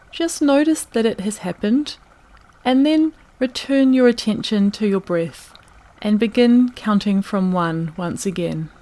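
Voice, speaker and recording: A woman narrating a guided meditation in a calm, gentle, unhurried manner, leaving long pauses between phrases. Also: a little high.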